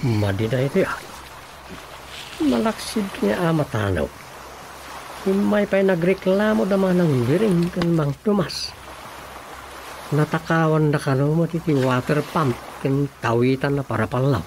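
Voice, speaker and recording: A man's voice: a little high, lively intonation, unhurried, long pauses, background noise. A man playing a harried, placating village councilor in an Ilocano-accented radio comedy drama. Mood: fretful.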